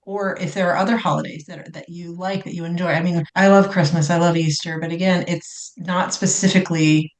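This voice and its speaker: A woman's voice: fairly low pitch, fairly even intonation, brisk, hardly any pauses. Curious woman in an echoey room. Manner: curious, chatty, asks long rambling questions. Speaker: podcast guest. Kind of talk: conversational interview.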